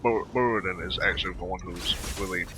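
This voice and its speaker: A man giving casual, halting commentary over a video game playthrough in short muttered reactions. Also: low-pitched, noisy background. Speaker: gaming video maker.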